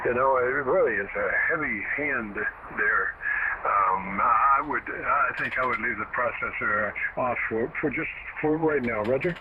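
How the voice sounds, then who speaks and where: Through loud radio noise and a thin, narrow band comes a man with a medium-pitched voice. He is a ham operator, explaining technical matters in a folksy, casual way. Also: measured pace. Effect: radio transmission. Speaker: amateur radio operator giving audio advice. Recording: narrow, very noisy shortwave radio signal.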